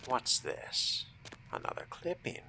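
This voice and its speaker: A man voicing a haughty fledgling vampire in a condescending, theatrical game roleplay monologue, speaking deliberately and often dropping to a whisper. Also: pushed and bright, slight background noise. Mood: wry, hushed. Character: haughty fledgling male vampire exploring a haunted hotel. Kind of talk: in-character roleplay monologue over a video game. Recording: music under the voice.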